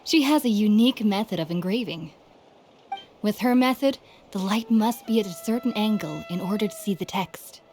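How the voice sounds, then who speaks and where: A woman's voice: medium pitch, moderate pace, darkish tone, close to an everyday voice. A woman playing an amiable young townswoman in a video game, talking in a conversational, explanatory way. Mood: explaining. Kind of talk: video game character acting. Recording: game music behind. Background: game music.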